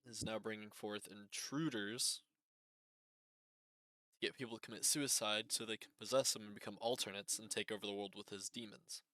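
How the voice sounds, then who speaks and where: A man hosting a reaction video with casual unscripted commentary, halting and repeating his phrases. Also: fairly low pitch, fairly even intonation, pushed and bright, dry recording, poor audio.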